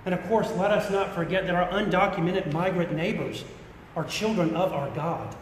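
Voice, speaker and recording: A middle-aged American man delivers a sermon in an earnest, deliberate way, leaning on repeated phrases. His voice is on the high side for a man. It rings through a very echoey church hall with some low rumbling noise behind it. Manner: earnest, deliberate, emphatic repetition. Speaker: pastor. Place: large church interior.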